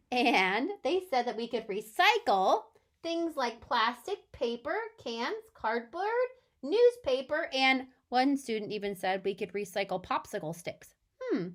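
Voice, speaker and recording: A woman elementary school teacher giving a read-aloud lesson for young children, animated and deliberate, often putting questions to them. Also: fairly high pitch.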